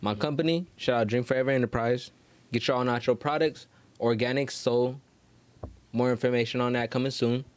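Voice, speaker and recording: A man's voice: mid-range voice, steady tone, a little fast, smooth, no room sound. A man chatting casually as a rapper guest on a podcast, slangy, swearing freely and promoting himself.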